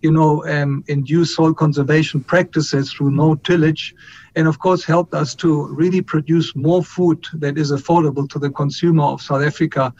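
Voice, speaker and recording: A middle-aged man from Colombia, a company chief executive answering interview questions in a measured way, with frequent fillers and restarts. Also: flat delivery, a little mellow. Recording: muffled line with faint rumble.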